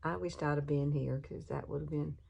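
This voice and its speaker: A woman's voice: low-pitched, steady tone, a little fast, creaky, dark tone, lo-fi sound. A woman in her sixties with a Southern US accent, chatting folksily through a makeup tutorial, filmed on a phone in her bedroom. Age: 60s. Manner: chatty, folksy, laughs often. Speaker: beauty vlogger. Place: bedroom at home. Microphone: phone camera, no external mic.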